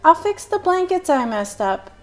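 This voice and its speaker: A woman's voice: extremely high pitch, very animated, moderate pace, faint music behind, voice pushed up. A woman reading a storybook and voicing a sleepy, impulsive young girl who gets flustered and apologetic. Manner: breathless exclamations, later apologetic.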